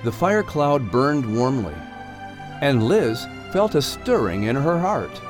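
A man's voice: mid-range voice, very animated, slow pace, over music. A man reading scripted narration for a children's audiobook.

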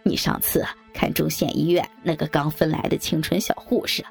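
A woman's voice: low voice, level tone, normal speed, faint music behind, voice pushed down. A woman voicing crude, leering old men with coarse, jeering banter in an audiobook story. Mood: crude teasing. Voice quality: gravelly, husky.

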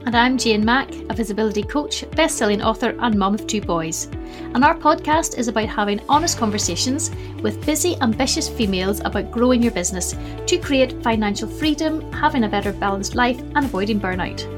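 A woman's voice: a little high, lively intonation, fairly quick, music in the background. Upbeat woman co-hosting a podcast. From Ireland. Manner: upbeat, enthusiastic, laughs along. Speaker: podcast co-host. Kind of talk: scripted podcast intro, then interviewing.

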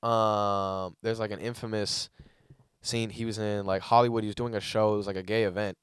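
A young man co-hosting a comedy podcast, talking in casual banter, laughing often and breaking into loud put-on voices. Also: fairly low pitch, flat delivery, normal speed, smooth, no room sound, studio quality.

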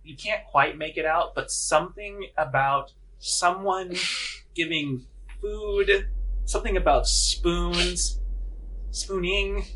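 A man working as game master, narrating a tabletop game descriptively and pausing as he finds his words. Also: high voice, pushed and bright, slight background noise, rough recording.

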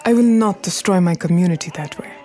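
A woman's voice: fairly low pitch, quick pace, warm tone, close to an everyday voice. An actress playing a proud, defiant Harlem crime queen in a period radio drama, elegant and commanding, with formal, precise diction.

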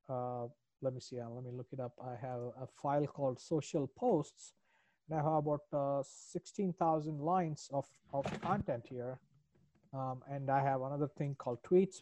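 A man's voice: medium pitch, steady tone, normal speed, dark tone, no echo. A middle-aged man with a foreign accent in English, a business coach answering podcast interview questions in an earnest, reflective, rambling way.

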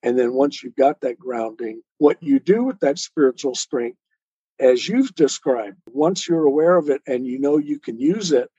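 Male interview guest, mellow and slightly husky, earnest and measured explainer, dry but dull recording. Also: medium pitch, no echo. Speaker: interview guest, author and speaker. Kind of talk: earnest explanatory interview talk on self-help and faith.

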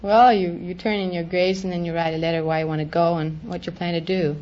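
A woman's voice: lowish voice, fairly even intonation, fairly quick, a little mellow. Young foreign woman on an old radio quiz. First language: not English. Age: young adult. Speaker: quiz show contestant. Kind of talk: casual answers to a host. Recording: muffled noisy old radio recording.

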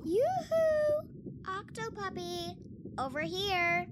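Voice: very animated, slow pace, background noise, exaggerated voice. A performer playing a friendly, chirpy child in a children's undersea audio drama, with a childlike voice. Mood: friendly, casual. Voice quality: very high, childlike.

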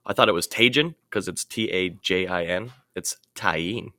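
A man's voice: low-pitched, moderate pace, pushed and bright, no room sound. A man in casual conversation as a podcast co-host. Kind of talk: casual chat.

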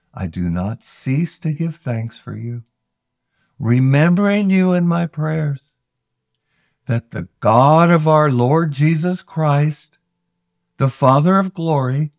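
A man reads scripture aloud at a slow, deliberate pace. His voice has a gravelly creak to it, and he pauses often between phrases in a solemn delivery. The sound is close and dry. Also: medium pitch, a little mellow, no room sound. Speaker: scripture reader.

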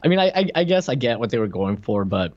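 A man's voice: medium pitch, very animated, quick pace, a little mellow, dry recording, highs cut off. A man co-hosting a podcast, chatting casually and commenting on television. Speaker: podcast co-host.

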